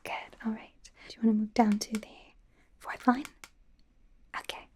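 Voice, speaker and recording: A woman playing a friendly, self-correcting werewolf doctor in an ASMR roleplay, speaking in a soft, gentle, hesitant whisper. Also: on the high side, flat delivery, normal speed, natural voice. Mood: gentle, attentive.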